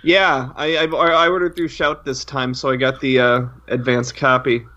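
A man's voice: mid-range voice, little pitch movement, normal speed, sharp tone. A man co-hosting a casual podcast, chatting over dull online-call audio. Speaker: podcast co-host.

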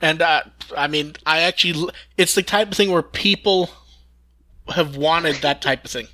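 Man, podcast host, higher-pitched voice, bright tone, casual news discussion. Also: moderate pace.

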